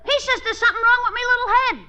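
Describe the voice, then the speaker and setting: A man's voice: very high voice, steady tone, rapid-fire, muffled recording. A man playing a sarcastic young delivery boy in an old radio comedy. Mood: deadpan sarcasm. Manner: sarcastic, insulting, mock-earnest. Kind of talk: 1950s radio comedy acting. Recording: old radio recording, faint noise.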